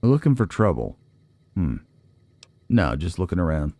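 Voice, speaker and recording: A man's voice: low voice, very animated, unhurried, warm tone, no echo. A man giving casual gameplay commentary, chatty and folksy, musing aloud to himself. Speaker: let's play host.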